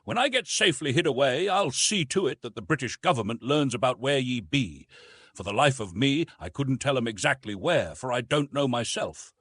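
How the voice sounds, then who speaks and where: A man voicing a rough, matter-of-fact mutinous sailor chief with plain-spoken seafaring dialect in an audiobook. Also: medium pitch, expressive, moderate pace, a bit bright, no room sound.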